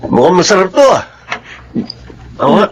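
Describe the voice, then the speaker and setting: A man's voice: sits high, very animated, normal speed, a little hiss or hum. A man playing a selfish, hot-tempered, demanding boyfriend in a radio drama. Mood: pleased, casual. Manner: scolding, demanding, belittling. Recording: radio drama with background music. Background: music.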